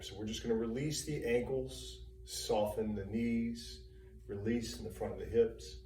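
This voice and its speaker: Male meditation guide, dark relaxed tone, calm spaced-out phrasing; roomy, distant recording with faint background hum. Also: on the low side. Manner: calm, unhurried, soothing, with pauses between short phrases. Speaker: personal trainer leading guided meditation. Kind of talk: guided meditation, gentle spoken instruction.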